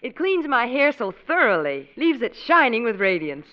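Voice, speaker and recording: A woman's voice: fairly high pitch, big pitch swings, moderate pace. A woman reading a gushing testimonial in a 1940s radio commercial style. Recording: muffled old radio broadcast.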